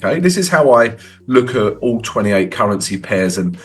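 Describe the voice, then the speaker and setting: A man's voice: on the low side, very animated, normal speed, faint music behind. A man with a cold walking through trading charts in a casual, conversational way, tagging lines with "right?". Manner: conversational, frequent "right?" tags. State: has a cold. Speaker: forex trading educator.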